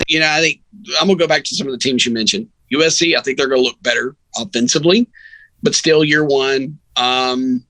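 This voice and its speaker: A man's voice: medium pitch, fairly quick, bright, forceful tone, no room sound, rough recording. A man who works as a sports radio announcer, talking casually as a guest in a rambling, anecdotal way. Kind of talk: casual sports chat and storytelling.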